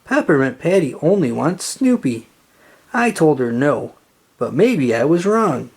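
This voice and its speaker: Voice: medium pitch, big pitch swings, unhurried, a little mellow, husky, full character voice. A narrator voicing an earnest, anxious boy who manages a baseball team in a children's storybook. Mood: troubled.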